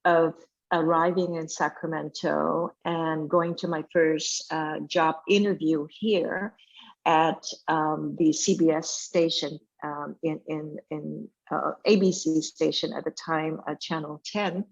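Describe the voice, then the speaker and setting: A middle-aged woman from Vietnam, a chef and restaurant owner, talking casually in an interview with many pauses and fillers. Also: deep voice, steady tone, gravelly, highs cut off.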